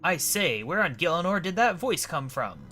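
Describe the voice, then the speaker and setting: Pompous old gent, animated. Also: male voice, on the high side, normal speed, faint music behind, pitched above the performer's own voice, full character voice. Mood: startled, bumbling. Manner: blustering, exclamatory, old-fashioned genteel speech. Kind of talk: voicing video game dialogue.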